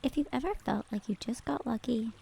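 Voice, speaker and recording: A woman narrating a scripted guided meditation in a calm, gentle, measured manner. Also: a little high, creaky, breathy edge.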